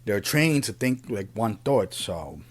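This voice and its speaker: A man, born in Puerto Rico and raised in the Bronx, giving an earnest, unscripted opinion monologue as a podcast host, often halting and repeating himself. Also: on the low side, sing-song. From Puerto Rico, raised in the Bronx, New York City.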